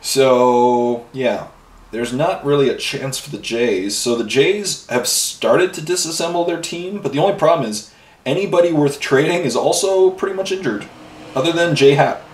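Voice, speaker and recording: A man's voice: fairly high pitch, normal speed. A man chatting casually as he paints miniatures for a hobby video, rambling from one thing to the next with plenty of fillers. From Ireland and Canada. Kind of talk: casual chat while painting. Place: home hobby room. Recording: roomy echo, voice a bit far from mic, faint noise.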